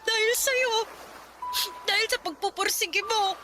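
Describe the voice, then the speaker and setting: A woman's voice: extremely high pitch, unhurried. An actress playing a loving, thrifty, emotional mother in a Tagalog radio drama, fretting and tender. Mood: tearful, apologetic. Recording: music bed behind the voice.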